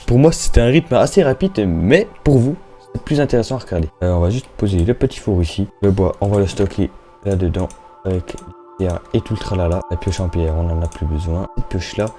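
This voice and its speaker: A man's voice: low-pitched, fairly quick, mellow, soft background music. A young man giving casual, slangy Minecraft let's-play commentary, chatting and thinking aloud as he plays. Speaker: gaming YouTuber.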